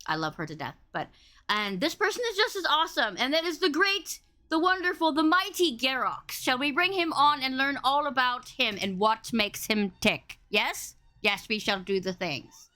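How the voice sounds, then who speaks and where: A woman with a loud, boomy voice hosting a casual livestream podcast, effusive, playful and rambling as she chats with her guest. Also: expressive, moderate pace. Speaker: podcast host and interviewer.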